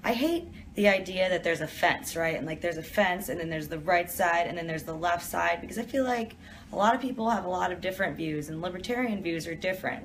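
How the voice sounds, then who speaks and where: A woman's voice: fairly low pitch, slight background noise. A young woman from Detroit, chatting casually as she reads out viewer questions and answers them in an office during a live stream. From Detroit, USA.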